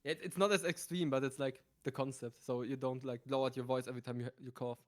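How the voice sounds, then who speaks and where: A man speaking quietly as a guest on a late-night stream, calmly explaining things in a hushed voice. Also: medium pitch, fast. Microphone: home mic, talking quietly at night.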